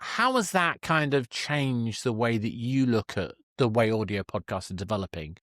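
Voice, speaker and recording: A man's voice: medium pitch, expressive, normal speed, fairly bright tone, no room sound, clean studio sound. A man hosting an interview in a casual, chatty and emphatic way. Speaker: podcast host, interviewer.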